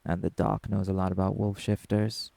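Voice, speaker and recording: Man acting gravelly, surly young werewolf punk. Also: monotone, moderate pace, mellow, no room sound. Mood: grudging, weary. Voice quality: gravelly, low. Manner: curt, sarcastic, dismissive. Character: brash, surly young male werewolf punk musician, guarded and rude. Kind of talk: dramatic audio roleplay acting.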